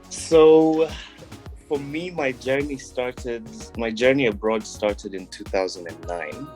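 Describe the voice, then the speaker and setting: A young man from Kenya, a guest on an interview show, talking in a relaxed, reflective way with frequent ums and restarts. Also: mid-range voice, takes it slowly, faint music behind, rough recording. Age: young adult. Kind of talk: casual interview talk.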